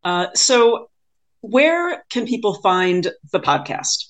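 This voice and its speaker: A woman's voice: mid-range voice, big pitch swings, takes it slowly. A woman in a casual interview conversation, talking reflectively as the interviewer.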